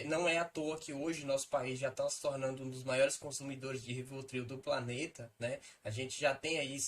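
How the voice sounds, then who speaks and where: A man's voice: mid-range voice, fairly bright tone. Brazilian man presenting academically, faint noise. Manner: formal wording, hesitant pauses.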